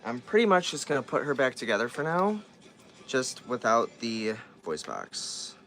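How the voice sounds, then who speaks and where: A man in the middle of a hands-on repair talks it through in a loose, casual vlog style. His voice sits at an ordinary middle pitch, and he keeps breaking off his sentences and starting over as he works. Faint music plays quietly behind him. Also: sing-song, moderate pace. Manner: thinks aloud, trailing off and restarting. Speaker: vlogger repairing dolls. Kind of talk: casual chat while working.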